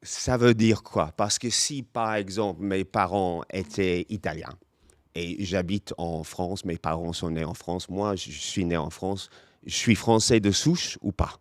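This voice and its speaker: A man's voice: low voice, measured pace, no echo. A man interviewing a politician in a studio, pressing him with insistent questions.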